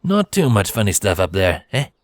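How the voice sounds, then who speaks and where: A man's voice: lowish voice, sing-song, normal speed, no room sound, clean studio sound. A man voicing a cheeky, jovial male pony who runs a fairground ride, teasing and calling out in a storybook reading.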